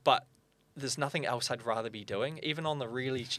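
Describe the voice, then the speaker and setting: A young New man talks as a podcast guest. He makes his case in an earnest, fluent way, tossing in quick asides, and his voice sits at an ordinary middle pitch. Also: fairly bright tone, slight background noise. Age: young adult. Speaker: guest, firearm owners' spokesperson. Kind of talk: casual interview chat.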